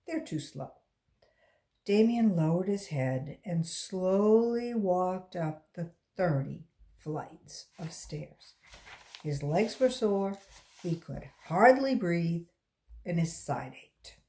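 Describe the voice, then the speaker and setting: A woman reading a children's story aloud as a volunteer, in a deliberate storytelling style. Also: fairly low pitch, animated, warm tone.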